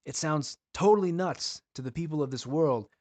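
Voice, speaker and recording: A man giving an earnest, questioning sermon to viewers, slowing down to stress key words. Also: fairly high pitch, a little mellow, dry recording. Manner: earnest, questioning, slows for emphasis.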